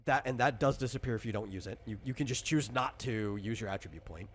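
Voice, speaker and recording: Guy explaining his tabletop game rules, kinda rambly, stops and rewords stuff, medium pitch. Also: fast, slight background noise. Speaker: game designer and game master.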